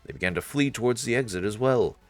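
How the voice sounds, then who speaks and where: A man's voice: medium pitch, expressive, fast, soft background music. A man narrating a visual novel as a dramatic story, reading aloud for a let's play.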